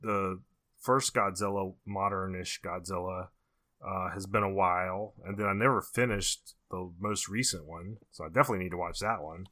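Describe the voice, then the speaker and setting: A man's voice: deep voice, fairly even intonation, moderate pace, sharp tone. An American man co-hosting a podcast, reviewing movies in casual conversation. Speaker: podcast co-host.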